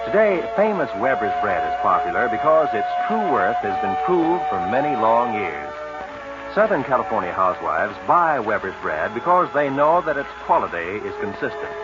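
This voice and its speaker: Man, animated pitch, polished sales delivery, 1940s radio commercial, loud music behind, muffled recording. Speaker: commercial announcer. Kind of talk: scripted 1940s radio advertisement.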